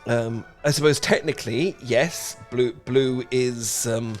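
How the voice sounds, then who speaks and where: A British man playing an awkward, flustered, dorky young man in a romantic audio roleplay, stammering, halting and apologetic as he rambles. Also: mid-range voice, faint music behind, natural voice. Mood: rambling, apologetic. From Britain.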